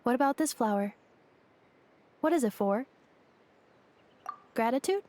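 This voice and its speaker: A woman's voice: a little high, unhurried. A literal-minded female android. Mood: inquisitive. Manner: flat, precise, formal machine-like phrasing. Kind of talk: game voice acting. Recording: game dialogue over music. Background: game score music.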